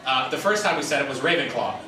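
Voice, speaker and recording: A man's voice: medium pitch, lively intonation, fast talker, roomy sound, music in the background. A man giving an informal panel talk to an audience, stammering and rambling loosely, recorded from a distance in a noisy room. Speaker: convention panelist, fan dubber.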